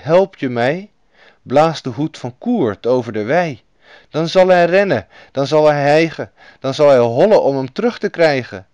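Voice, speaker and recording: A man voicing a gentle, sorrowful young princess who chants a rhyme, reading a storybook aloud. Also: mid-range voice, no echo, dull audio, close to an everyday voice. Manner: pleading, sing-song chanting.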